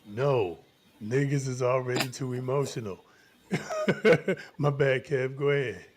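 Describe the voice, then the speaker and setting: A man's voice: medium pitch, slow pace. A man co-hosting a casual discussion, explaining his opinion point by point. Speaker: podcast co-host.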